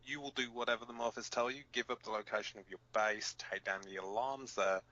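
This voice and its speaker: Blunt, bright-edged resistance co-host, a man acting, lo-fi. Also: medium pitch, steady tone, a little fast, close to an everyday voice. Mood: bitter, angry. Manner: blunt, sardonic. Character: gruff, blunt, cynical resistance fighter co-hosting broadcast. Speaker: radio show co-host. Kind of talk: scripted audio drama broadcast.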